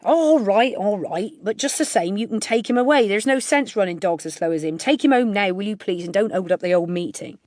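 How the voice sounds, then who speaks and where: A woman voicing a brisk, dismissive male dog-race organiser who talks curtly and loudly in a storybook reading. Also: mid-range voice, animated, no room sound, voice pushed up, close to an everyday voice. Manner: curt, impatient, loud exclamations.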